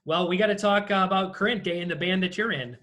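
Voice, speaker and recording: A man's voice: sits high, fast, sharp tone. A man hosting a casual interview over a video call, talking in a conversational way. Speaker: interviewer, host.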